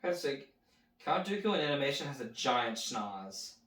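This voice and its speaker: A man's voice: medium pitch, very animated, moderate pace, fairly bright tone, echoey room. A man hosting a watch party, chatting and reacting aloud to a cartoon as it plays. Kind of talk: casual commentary while watching a cartoon.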